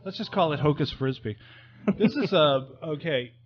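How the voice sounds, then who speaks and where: A man's voice: fairly high pitch, lively intonation, moderate pace, dull audio. A man hosting a podcast in a loose, joking, unscripted way, rambling through his thoughts. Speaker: podcast host. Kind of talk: casual, unscripted comic chat. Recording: clearly noisy background.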